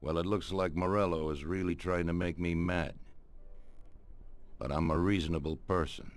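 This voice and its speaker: Guy doing an older mob boss for a crime game, super deep voice, calm authority, slow deliberate orders. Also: lightly acted. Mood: calm, welcoming. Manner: deliberate, commanding. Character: measured, authoritative older male mafia boss. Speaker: voice actor. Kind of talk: dramatic video game cutscene acting.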